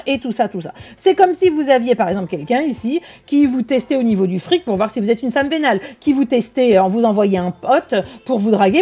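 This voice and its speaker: A woman medium giving a casual reading to her viewers, blunt and slangy, with quick asides. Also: fairly high pitch, warm tone, husky. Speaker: tarot and psychic medium. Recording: dull lo-fi sound with faint music.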